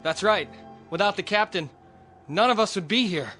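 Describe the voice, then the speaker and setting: A man's voice: high voice, expressive, moderate pace, sharp tone. An actor playing a grateful, loyal colonist in a radio drama, eager and emphatic about his devotion. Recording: radio drama with faint music behind.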